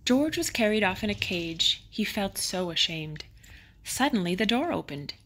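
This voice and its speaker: A woman narrating a children's bedtime picture book expressively, with dramatic emphasis on exciting moments. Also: medium pitch, normal speed.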